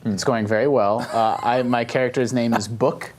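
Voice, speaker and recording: A man's voice: mid-range voice, steady tone, moderate pace. A man chatting casually as a panelist on a gaming podcast.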